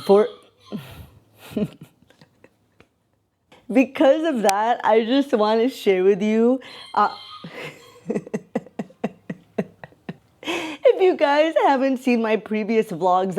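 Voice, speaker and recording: A woman about to turn forty talks straight to camera in a relaxed, upbeat vlog. Her voice is mellow and warm, with a chatty, open manner and frequent light laughter. She speaks at a middling pitch. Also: slow, long pauses. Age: 30s. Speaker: vlogger. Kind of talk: casual talking-to-camera vlog. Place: home in the Philippines with roosters heard.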